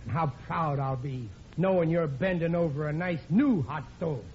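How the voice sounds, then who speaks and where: A male actor plays a bumbling working-class dad in an old radio comedy. His voice sits fairly high as he stammers, blusters and wheedles. The recording is muffled and plainly noisy. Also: moderate pace, close to an everyday voice. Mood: gushing. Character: bumbling, wheedling working-class husband and father, middle-aged. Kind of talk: old-time radio situation comedy acting.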